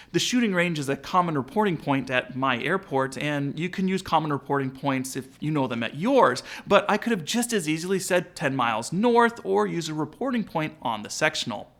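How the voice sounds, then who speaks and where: A man narrates a lesson in a fairly high voice. He explains things informally, in the role of a pilot who is presenting instruction. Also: very animated, a little fast, hardly any pauses, fairly bright tone.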